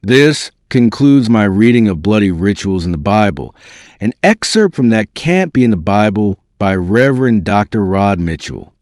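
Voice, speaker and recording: A man delivers dramatic scripted narration in a fairly low voice. His pitch moves in a very animated way from phrase to phrase. The recording is dry and close, with no sense of a room around him. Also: moderate pace, no echo. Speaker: narrator reading a book excerpt.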